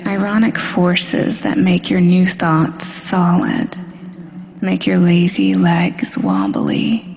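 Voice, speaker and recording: Smug female supervillain , voiced by a woman for hypnosis roleplay. Lowish, lightly creaky, breathy voice, deliberate and domineering, slipping into whispers. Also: expressive, darkish tone, exaggerated voice. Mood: domineering, soothing. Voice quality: breathy, often dropping to a whisper. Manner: slow, deliberate, hypnotic, commanding. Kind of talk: slow scripted hypnosis roleplay audio. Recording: long hall-like reverb.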